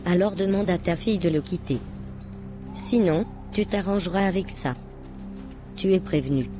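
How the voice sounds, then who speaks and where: A woman's voice: lowish voice, normal speed, over music, lightly acted. A woman voicing a cold, commanding occult grand master who threatens people in a cartoon. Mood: threatening. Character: menacing occult grand master plotting attacks.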